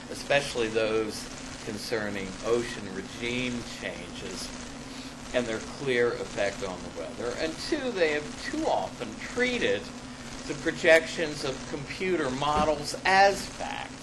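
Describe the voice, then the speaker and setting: Older male academic, very slow halting read of a paper, clearly noisy classroom recording. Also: mid-range voice. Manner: halting, deliberate delivery with repeats. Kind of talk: reading a paper aloud with asides.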